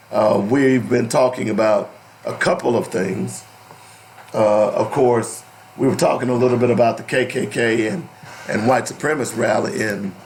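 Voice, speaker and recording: A man hosting an opinionated radio talk show, deliberate and emphatic, with a strained, cracking voice that is giving out. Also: medium pitch, slight background noise. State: losing his voice. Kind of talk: opinionated radio talk, partly reading aloud.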